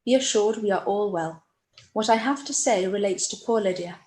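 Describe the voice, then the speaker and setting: A woman playing a gentle, earnest young gentlewoman voicing her own letter in a period radio play, tender and measured. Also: medium pitch, natural voice. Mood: anxious. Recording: roomy echo, faint background noise.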